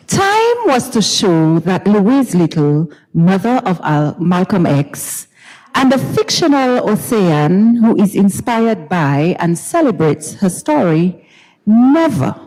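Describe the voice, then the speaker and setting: Very slow, expressive woman storyteller in hall. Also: mid-range voice. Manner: deliberate, theatrical, with pauses and repetition. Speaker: dramatic reader. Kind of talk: dramatized literary storytelling. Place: university recital hall. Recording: recital hall with long reverb.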